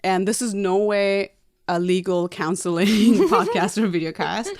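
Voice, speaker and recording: A woman in her thirties from Nepal, co-hosting a casual podcast, chatty and quick to laugh, often restarting her sentences. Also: mid-range voice, expressive, dry recording, studio quality. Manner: chatty, laughs easily, self-corrects and restarts. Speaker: podcast co-host. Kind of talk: casual conversational podcast chat.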